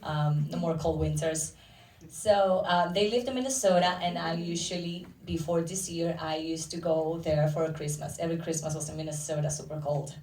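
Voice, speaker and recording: A woman's voice: deep voice, steady tone, moderate pace, darkish tone. A woman with a Dominican accent talking casually as an interviewed filmmaker and actor, telling things like stories. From Dominican Republic. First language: Spanish. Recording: roomy echo, distant microphone.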